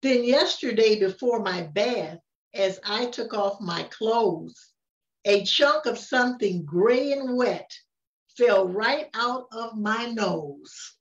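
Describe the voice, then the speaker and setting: An older woman reads a rhyming picture book aloud with expressive pauses. Her voice sits fairly high and moves very slowly. The recording is echoey, as if the microphone is some way off in the room. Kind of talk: read-aloud of a rhyming children's story. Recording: roomy, distant, dull-sounding microphone.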